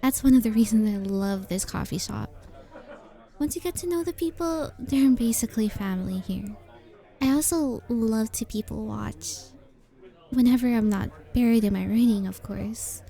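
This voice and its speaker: Shy young female cafe regular, played by a woman for ASMR roleplay: gentle, earnest, hesitant, higher-pitched with a warm, relaxed tone. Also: normal speed, vocal fry. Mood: friendly, shy. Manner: shy, hesitant, softly earnest, sometimes dropping to a whisper. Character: very shy, sweet young female coffee shop regular who writes.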